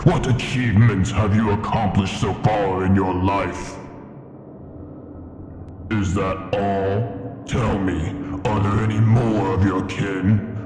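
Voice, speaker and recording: An old, haughty dragon acted by a man holds forth in a deep voice, weighing each formal word slowly. The sound rings with long cavernous reverb, in an immersive fantasy ASMR roleplay style. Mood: probing, grave. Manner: slow, imperious, formal and deliberate. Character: ancient proud male dragon in his cavern lair. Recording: echoing cavern reverb with music behind. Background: music.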